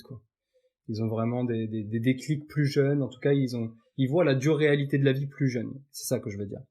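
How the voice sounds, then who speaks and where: Un homme invité d'un podcast, qui raconte son parcours sur un ton de conversation détendue, avec beaucoup d'hésitations et de reprises. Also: male voice, medium pitch, warm tone, husky. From Paris suburbs, France. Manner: chatty, many fillers and restarts. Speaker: podcast guest. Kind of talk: casual interview chat.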